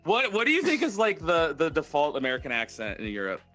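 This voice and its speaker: A man who streams, chatting as a guest in an animated, self-deprecating way. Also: on the high side, fast, soft background music. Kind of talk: casual chat.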